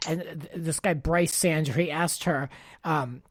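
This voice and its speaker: A man's voice: a little high, steady tone, unhurried, no room sound, studio quality. A man hosting a podcast, chatting casually and giving his opinions freely with lots of filler words. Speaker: podcast host. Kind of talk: casual pop culture chat.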